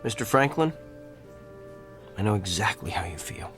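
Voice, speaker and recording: An actor playing a candid, compassionate doctor in a radio drama. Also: male voice, fairly low pitch, sing-song, moderate pace, a bit bright, faint music behind. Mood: reassuring.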